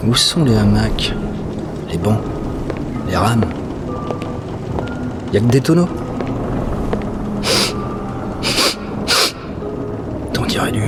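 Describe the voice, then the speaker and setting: Guy acting as a nosy nervous dock worker muttering to himself in an audio play, music really loud under him. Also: fairly low pitch. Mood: puzzled. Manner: talks to himself, exclamatory and wondering. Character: curious, uneasy male dockworker exploring a ghost ship.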